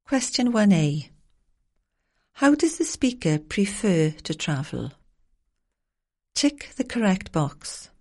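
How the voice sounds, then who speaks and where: A woman reading formal, clearly enunciated instructions as the narrator of a language exam recording. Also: mid-range voice, sing-song, slow pace, pauses often, a little mellow.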